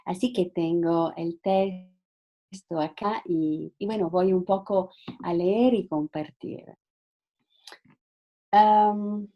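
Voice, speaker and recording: Italian-native woman guest speaker, slow halting delivery, mellow tone, video-call sound. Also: medium pitch. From Italy. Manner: hesitant, halting with frequent fillers and restarts. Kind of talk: online talk.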